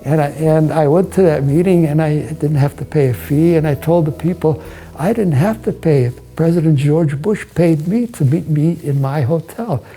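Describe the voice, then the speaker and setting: A man with an American accent speaks as a hotel owner in an interview, recalling his experiences in a measured, halting way with short phrases. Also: a little high, dark tone, husky, soft background music, poor audio. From United States. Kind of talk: promotional interview, personal recollection. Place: indoors, hotel suite.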